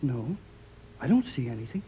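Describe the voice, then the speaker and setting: An actor playing an eager, earnest young lad in a 1930s radio serial, boyish and polite, exclaiming and slightly halting. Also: male voice, medium pitch, big pitch swings, lightly acted. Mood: excited, alert. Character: eager, earnest boy adventurer, polite and excitable. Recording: muffled, noisy 1930s radio recording.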